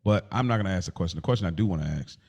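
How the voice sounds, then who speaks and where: A man's voice: deep voice, very fast, a little mellow. A man co-hosting a podcast in casual conversation, slangy and roundabout as he thinks aloud. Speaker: podcast co-host.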